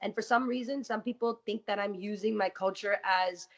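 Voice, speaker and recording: A woman guest talking candidly in a radio interview, earnest and quick, with many "you know" fillers. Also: mid-range voice. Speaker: guest rapper. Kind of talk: casual interview talk with rapped verses. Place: radio studio. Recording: muffled lo-fi sound.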